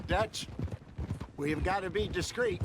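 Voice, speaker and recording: An actor playing a disillusioned, querulous older outlaw with an American accent, blunt and sardonic, in a cinematic video game. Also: male voice, high-pitched, slow pace, noisy background. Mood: wary, wistful.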